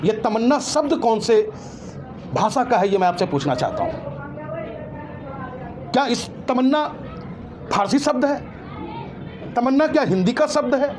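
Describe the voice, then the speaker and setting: A man speaks out as an interview guest. He argues emphatically and indignantly, throwing out rhetorical questions, with loud ambient noise around him. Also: very high voice, animated, measured pace, pauses often, a crowd in the background. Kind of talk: impassioned street-interview opinion talk.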